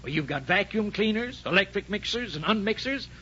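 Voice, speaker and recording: An actor playing a defensive, grumbling middle-aged husband in a 1940s radio comedy, hemming and hawing with exasperation. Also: male voice, animated, brisk, bright, forceful tone, a little hiss or hum. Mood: flustered. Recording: muffled, rumbling 1940s radio recording.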